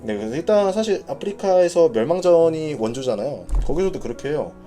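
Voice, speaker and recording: A man with a soft, mellow voice and a very lively, swinging intonation leads an informal gaming clan announcement. He talks conversationally, thinking aloud as he explains his notes, with faint music behind him. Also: on the high side, a little fast. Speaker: gaming clan leader hosting announcement broadcast. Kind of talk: informal live announcement, reading notes and commenting.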